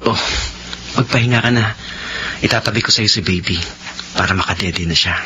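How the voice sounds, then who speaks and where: A man's voice: on the low side, moderate pace, noisy background, close to an everyday voice. A man playing a devoted, playful young husband and father with a Filipino accent, tender and effusive in a sentimental radio drama. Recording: dull lo-fi audio with loud music.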